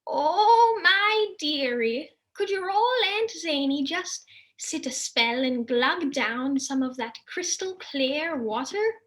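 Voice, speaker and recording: A woman voicing a playful female fairy disguised as an old woman, with drawn-out, sing-song, cheeky speech. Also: sits high, expressive, fairly bright tone, pitched above the performer's own voice, full character voice. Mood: croaky, teasing.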